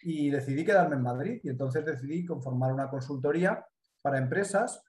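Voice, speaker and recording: A middle-aged man from the Mediterranean coast of Spain with a Spanish accent, a business consultant explaining his work in a measured way during a live interview. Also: on the high side, a little mellow, roomy sound.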